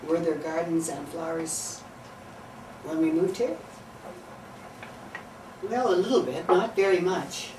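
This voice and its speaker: A woman's voice: lowish voice, takes it slowly, long pauses, echoey room. Older woman interviewee, chatty, turns questions back; distant mic, noisy room. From Canada. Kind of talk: casual interview answers.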